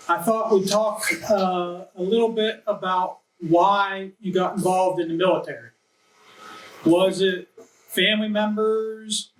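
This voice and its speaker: A man hosting a group interview, friendly and measured, putting simple questions to each guest in turn. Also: high voice. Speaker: interviewer, center director. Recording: echoey room, mic at a distance.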